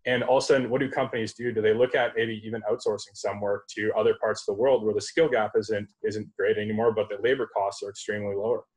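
A man's voice: on the low side, level tone, fast, few pauses, a little mellow, low-quality recording. A man in his thirties on a laptop video call, answering as a panelist in an online discussion, thoughtful and full of fillers and restarts. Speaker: panelist, startup executive. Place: home room, indoors. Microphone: laptop webcam video call.

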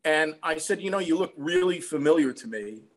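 A man's voice: a little high, lively intonation, brisk. A man in his sixties with an American accent, telling an anecdote matter-of-factly as an interview guest over a video call. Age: 60s. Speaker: songwriter guest. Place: room at home.

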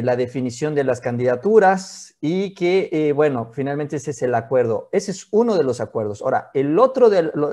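The high-voiced Mexican man you would hear on an online politics show, patiently and circuitously explaining who agreed what with whom from his small office. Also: mellow. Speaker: political commentator. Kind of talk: conversational political commentary. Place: small home office, indoors.

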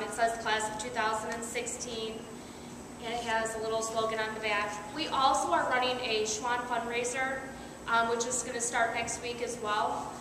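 A woman class advisor giving announcements at a school meeting, with frequent ums. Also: on the high side. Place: large school cafeteria. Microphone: far camera. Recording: echoey hall from far off, background noise.

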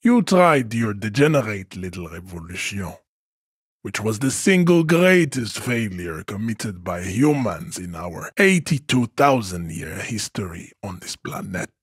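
A haughty, hulking supremacist from a video game. His voice is low and husky, recorded very dry. He declaims solemnly and pompously, as if above everyone. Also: very animated, a little slow, no echo, full character voice. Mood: cold, haughty. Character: haughty, hulking supremacist strongman, adult man. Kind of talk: scripted game character monologue.

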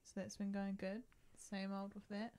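A woman co-hosting a casual podcast chat, relaxed and giggly, with a soft, lower tone. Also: moderate pace. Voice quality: lower, docile tone. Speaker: podcast co-host.

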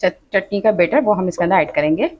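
A woman's voice: on the low side, brisk, highs cut off, background noise. Chatty woman narrating a cooking tutorial. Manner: chatty, instructive, often says "achha" and "dekhiye". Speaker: cooking channel host. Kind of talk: step-by-step cooking tutorial voice-over. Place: home kitchen.

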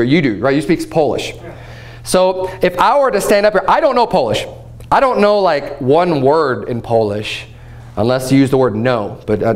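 Animated, fairly high-voiced man preaching. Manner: animated, gesturing, conversational preaching. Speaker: pastor. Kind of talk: sermon. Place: church platform before a painted backdrop.

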